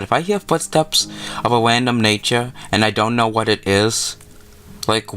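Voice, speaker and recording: A man hosting a let's play, reading a visual novel aloud with casual commentary and sometimes stumbling over his words. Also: medium pitch.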